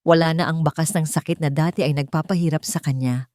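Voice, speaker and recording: Low-voiced woman narrating a love story. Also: fairly even intonation, fast talker, a little mellow, dry recording, clean studio sound. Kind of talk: scripted first-person romance story narration.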